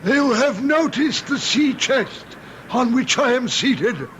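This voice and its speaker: A man's voice: very high voice, lively intonation, slow pace, fairly bright tone, dull audio, a little hiss or hum, heavily acted. A male actor playing a mocking, malicious hairy monster who tempts and goads in archaic, theatrical phrases in an old-time radio drama. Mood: sly, tempting.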